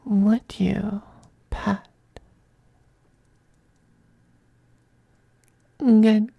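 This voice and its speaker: A performer voicing a possessive, androgynous male vampire master in an ASMR roleplay, soft, breathy and often whispering, coaxing and condescending. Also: mid-range voice, no echo, exaggerated voice. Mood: doting, possessive. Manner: slow, purring, coaxing, condescending. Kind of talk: ASMR roleplay, intimate scripted monologue.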